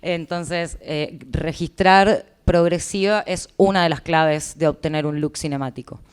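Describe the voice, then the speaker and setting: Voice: medium pitch, steady tone, unhurried, clean tone. An interpreter with an Argentine Spanish accent, explaining to listeners in consecutive turns at a conference.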